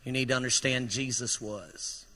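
A man preaching a fervent sermon in a church, his voice strained from shouting and rousing the congregation to respond. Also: medium pitch, steady tone, moderate pace. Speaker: pastor. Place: church sanctuary. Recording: echoey church hall reverb.

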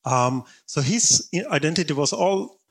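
A man interviewing a guest, talking in a halting way and often repeating words as he searches for them. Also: on the high side, slightly rough. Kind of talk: interview conversation.

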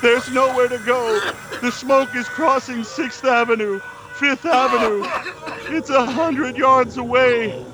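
A man's voice: extremely high pitch, slow pace, music in the background, low-quality recording. A man playing a radio correspondent in a theatrical 1930s radio drama spoof. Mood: panicked, doomed. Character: male 1930s radio reporters, frantic eyewitness or grumpy indifferent correspondent.

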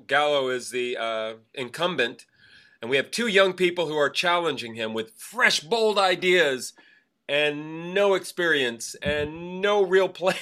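A chatty male podcast co-host with a bright, forceful tone and a voice pitched a bit high. He talks in an upbeat, easygoing way and laughs often. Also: smooth. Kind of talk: casual chat.

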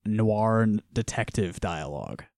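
A man co-hosting a casual podcast, walking through a comic with frequent laughter and quiet trailing-off lines. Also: fairly low pitch, animated, measured pace, vocal fry, dry recording, clean studio sound. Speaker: podcast co-host. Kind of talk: casual chat reviewing a comic.

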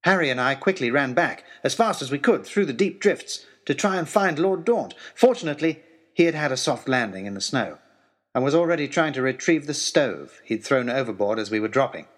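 A man's voice: medium pitch, animated, a little fast. A man with an English accent narrating a period adventure story in the first person, in a dramatic style.

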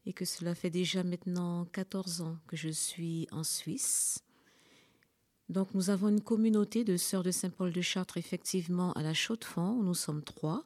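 Soft-toned nun from French Guiana. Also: female voice, lowish voice, fairly even intonation, normal speed. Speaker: religious sister and hospital chaplain. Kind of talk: radio interview.

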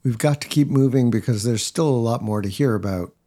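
A man hosting and narrating a report, with a steady, scripted delivery. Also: medium pitch, brisk. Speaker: host and narrator.

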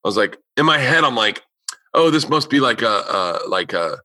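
Male comedian-host, casual swearing storyteller, bright tone, dry studio sound. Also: mid-range voice, sing-song, normal speed, no room sound. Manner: loose, profane storytelling with quoted voices. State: losing his voice. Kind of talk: casual chat.

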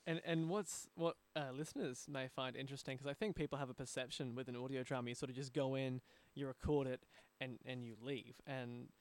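A man hosting a conversational interview, speaking in a halting way and repeating words as he goes. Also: mid-range voice, fairly even intonation. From Australia. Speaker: podcast host, audio drama producer.

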